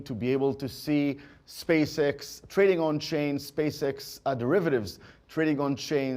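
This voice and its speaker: A man, a company executive giving market commentary on an earnings call, speaking in halting phrases. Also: medium pitch, a little mellow.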